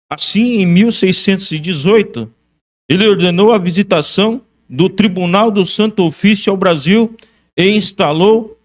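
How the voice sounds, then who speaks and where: High-pitched Brazilian man lecturing. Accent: Brazilian Portuguese. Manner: emphatic, repeats key phrases. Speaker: religious teacher and lecturer. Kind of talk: history lecture mixing reading aloud and commentary.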